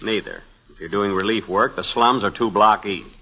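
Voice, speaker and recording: A man's voice: on the low side, moderate pace, sharp tone, slightly rough, full character voice. An American actor playing a brash, wisecracking young newspaperman with a snappy, sarcastic delivery in 1940s radio style. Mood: sardonic. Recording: noisy, clicky 1940s radio recording.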